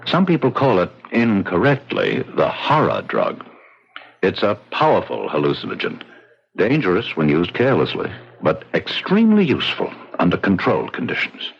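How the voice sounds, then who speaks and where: An actor playing a self-assured, persuasive psychiatrist in a radio drama, measured and clinical as he lectures. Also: sits low, wildly swooping pitch, heavily acted. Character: smooth-talking, self-assured male psychiatrist, coolly persuasive. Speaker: doctor. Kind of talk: 1970s radio drama acting. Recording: husky-sounding, muffled old radio recording.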